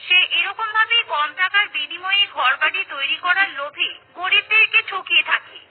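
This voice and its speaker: High-voiced woman narrating a cartoon. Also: moderate pace. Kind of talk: scripted storybook narration. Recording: tinny phone-like sound.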